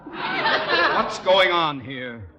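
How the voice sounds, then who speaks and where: A man's voice: high voice, huge pitch swings, very slow, bright, forceful tone, breathy edge, exaggerated voice. An actor playing a pompous, easily panicked middle-aged father and boss in a theatrical 1940s radio comedy style. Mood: indignant, smug. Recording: noisy old radio recording, hall reverb.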